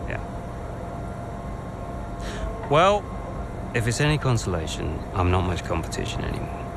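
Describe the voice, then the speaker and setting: A glib young brother who slides easily into mockery. His pitch swings widely as he talks, while vehicle ambience from the game scene runs underneath. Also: sing-song, fairly quick, vocal fry, very noisy, exaggerated voice. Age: young adult. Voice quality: sarcastic, mocking, glib. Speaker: racing team member. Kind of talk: video game cutscene acting.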